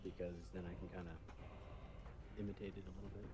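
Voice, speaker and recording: Low-voiced American gamer, loud gunfire behind. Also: male voice, level tone, fast talker, background noise. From United States. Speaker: online gamer. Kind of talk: casual game chat. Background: video game gunfire and effects.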